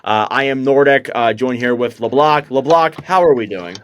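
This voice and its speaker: A man's voice: medium pitch, normal speed, highs cut off. Chatty young man hosting a podcast. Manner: chatty, loose, lots of fillers. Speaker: podcast host. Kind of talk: casual hockey podcast chat.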